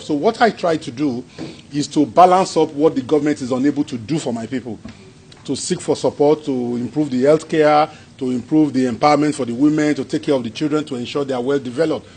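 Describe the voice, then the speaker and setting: A man with a Yoruba-accented Nigerian English voice, pitched somewhat above the usual male range. He talks to a hall audience as a traditional king, in a loose conversational way, chuckling often and circling back over his own phrases. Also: darkish tone, slight background noise. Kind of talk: informal speech and Q&A answers.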